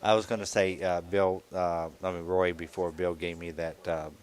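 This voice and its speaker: A man in his sixties co-hosting a live radio telethon, talking in a halting way with many fillers and restarts. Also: low voice, level tone, a little mellow. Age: 60s. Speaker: telethon co-host.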